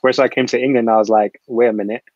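A man's voice: mid-range voice, fairly even intonation, very fast, a little mellow, no room sound. A man who grew up in Ghana, speaking earnestly on a panel over a video call, with lots of filler phrases. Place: small room at home. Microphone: laptop webcam on a video call. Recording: muffled video-call audio.

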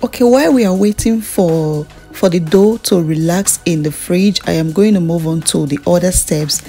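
Low-pitched Nigerian woman, cooking vlogger. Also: normal speed, mellow. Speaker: food vlogger. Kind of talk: casual cooking tutorial narration. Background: background music.

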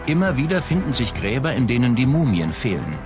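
A man's voice: mid-range voice, very animated, a little fast. A man reading scripted documentary narration. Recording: loud music under the voice. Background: prominent background music.

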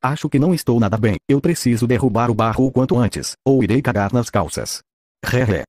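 A man's voice: mid-range voice, animated, brisk, a little mellow, slight background noise, lightly acted. A man with a Brazilian accent voicing a crude, bossy cartoon gang leader in a theatrical way. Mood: uneasy.

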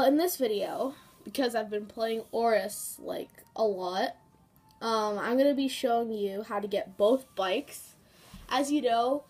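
A woman's voice: on the high side, darkish tone. Chatty woman with a cold, game walkthrough. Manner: chatty, rambling with fillers and asides. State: has a cold, sniffling. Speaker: gaming YouTuber. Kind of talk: casual game walkthrough commentary.

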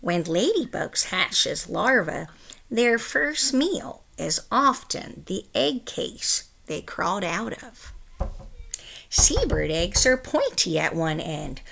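A middle-aged woman reads a picture book aloud like a teacher. Her voice is bright, with a touch of creak, and she takes her time over each carefully stressed phrase. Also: medium pitch. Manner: careful, expressive, emphatic phrasing for young listeners. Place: small room at home, indoors.

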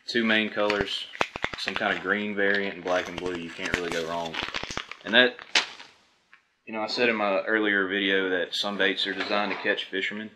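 A man's voice: deep voice, noisy background. A man in his thirties hosting a fishing video, talking casually and matter-of-factly to camera as he goes through products. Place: indoors at a table before a backdrop.

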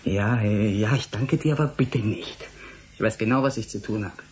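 An actor playing a stubborn, idealistic and terse scientist in a German radio drama. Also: male voice, on the low side, normal speed, darkish tone, natural voice. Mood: hushed, urgent.

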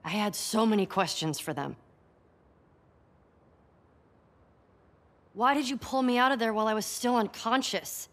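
A woman's voice: a little high, animated, fairly quick, a bit bright. A woman playing a wary, wounded young survivor in a video game, with an American accent and a subdued, earnest, naturalistic delivery. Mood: pleading, upset. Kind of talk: naturalistic cinematic game acting.

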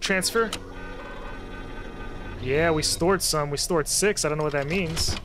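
Man, fairly high voice, casual gaming commentary, talks to himself in repeated phrases, loud music underneath. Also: measured pace. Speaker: host, gamer. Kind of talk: casual let's-play commentary of a horror game. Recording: loud game soundtrack under the voice. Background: loud horror game music and sound.

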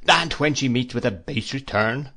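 A man voicing a cold, arrogant, severe husband with a pompous, measured and commanding way of speaking, in a classic novel reading. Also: mid-range voice, bright, forceful tone, slightly rough. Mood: icy reproach. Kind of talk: classic novel read aloud.

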